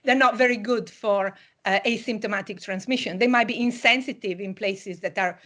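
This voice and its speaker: A woman's voice: medium pitch, fairly bright tone. Italian-born woman giving a lecture. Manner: measured explanatory delivery with occasional fillers. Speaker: university lecturer. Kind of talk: online public lecture.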